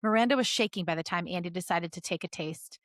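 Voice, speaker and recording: A woman's voice: fairly low pitch, fast, a bit bright, no room sound. Playful woman podcaster, dry close sound. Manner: playful, giggly. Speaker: podcast co-host reading fan fiction aloud. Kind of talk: expressive story reading mixed with casual banter. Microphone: close podcast microphone.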